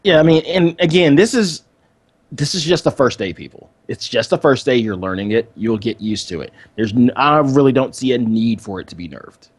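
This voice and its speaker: A man co-hosting a gaming podcast in casual, unscripted chat, with somewhat halting phrasing. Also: mid-range voice, expressive, husky. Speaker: podcast co-host. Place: indoors, online voice call.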